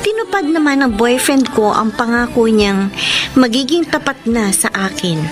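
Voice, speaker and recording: A woman's voice: on the high side, animated, moderate pace, natural voice. A woman acting a hurt, suspicious young girlfriend in a Filipino radio drama, with earnest, emotional delivery. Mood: earnest, confiding. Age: young adult. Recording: music under the voice.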